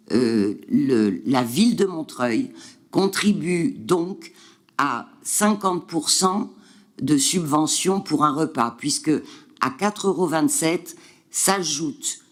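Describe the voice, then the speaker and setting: A man presenting figures at a city council meeting, hesitant, with many fillers and restarts. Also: a little high. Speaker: city councillor answering questions.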